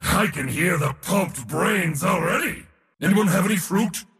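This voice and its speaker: A man voicing a proud, boastful god of war for a video game, gravelly, pompous and theatrical in delivery. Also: high voice, expressive, unhurried, fairly bright tone, long reverb tail, exaggerated voice. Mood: smug, amused. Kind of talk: video game character voice lines.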